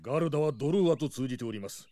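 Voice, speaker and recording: A man's voice: medium pitch, very fast, mellow, lightly acted. A male actor playing a stern, cautious old knight and mentor with a formal, old-fashioned manner in a Japanese drama CD. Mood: cautioning.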